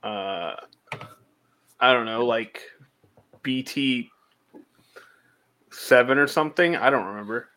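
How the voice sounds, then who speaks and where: A man's voice: mid-range voice, slow, pauses often, pushed and bright. A man in his early thirties co-hosting a podcast, giving blunt, offhand, joking answers. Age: 30s. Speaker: podcast co-host. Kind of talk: casual chat.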